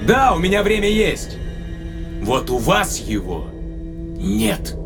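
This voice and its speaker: A man's voice: a little high, very animated, slow pace, over music, pitched above the performer's own voice. A man playing a proud, defiant space traveller in an audio drama, impassioned and declamatory. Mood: grim resolve.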